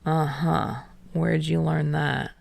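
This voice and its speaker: A guarded, sarcastic teenage boy, acted by a woman, in a lowered, quiet voice. He mutters with deadpan understatement, like a character in an audiobook, close and dry. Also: takes it slowly, gravelly, no echo, exaggerated voice. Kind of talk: audiobook character voice in fan fiction reading.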